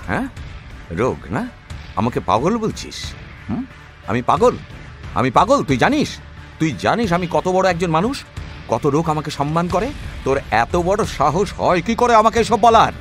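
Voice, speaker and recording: A man's voice: fairly high pitch, sing-song, moderate pace, long pauses, faint music behind. A man acting a jealous, proud and angry husband in an audio drama, bullying and contemptuous in manner. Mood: furious, bullying.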